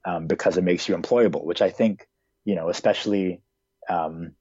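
A man's voice: low voice, steady tone, moderate pace, dark tone, breathy edge, dry recording, highs cut off. A man in his twenties who grew up in Hong Kong, answering interview questions in a casual, hesitant way with many fillers. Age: 20s.

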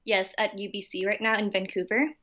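A young woman from Thailand, a university student, answering interview questions in a polite, relaxed and friendly way. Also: medium pitch, fairly quick, dull audio. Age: young adult. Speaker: guest student.

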